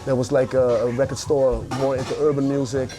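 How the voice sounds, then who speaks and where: A middle-aged man from the Netherlands with a Dutch accent, telling stories in a casual interview, relaxed with many fillers. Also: mid-range voice, brisk. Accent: Dutch, Amsterdam. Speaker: guest rapper. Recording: music playing behind.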